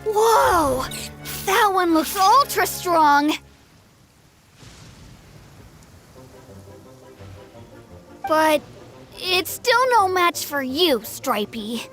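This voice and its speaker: A woman voicing an earnest, proud little village boy with a high boyish voice in a video game. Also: very animated, slow pace, fairly bright tone, full character voice. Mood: gleeful, boastful. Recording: game music behind.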